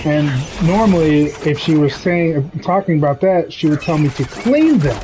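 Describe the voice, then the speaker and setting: A man's voice: on the high side, animated, moderate pace, warm tone, slight background noise, poor audio. Candid rambling man streaming a game. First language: English. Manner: rambling, candid storytelling with laughs and swearing. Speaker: gaming streamer. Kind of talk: casual chat while gaming. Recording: game sounds behind the voice.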